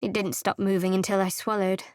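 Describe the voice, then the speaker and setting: An actress voicing a guarded, secretive young woman cleric in a game, speaking in a measured, dry, cool manner. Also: mid-range voice, level tone, no echo, lightly acted. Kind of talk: game voice acting. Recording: dry studio recording.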